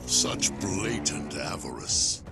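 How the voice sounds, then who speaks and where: Very deep, grave fantasy schemer. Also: male voice, very animated, creaky, heavily acted. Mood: grave, measured. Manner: formal, measured, stately diction. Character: grave, composed, knowing male schemer in dark fantasy. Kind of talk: dramatic video game cutscene acting. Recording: loud orchestral game music behind. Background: loud music.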